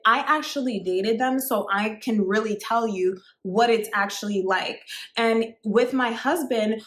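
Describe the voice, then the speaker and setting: Brief: thirties woman, dating coach, a bit high-pitched, emphatic chatty delivery, roomy echo. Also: a little slow, a bit bright. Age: 30s. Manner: chatty, emphatic, repeats "like" and "actually". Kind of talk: direct-to-camera advice talk.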